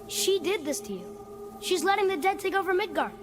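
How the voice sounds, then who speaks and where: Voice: very high-pitched, sing-song, brisk, over music. An actor voicing an eager, curious young boy adventurer in a video game, earnest and inquisitive. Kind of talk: video game dramatic acting.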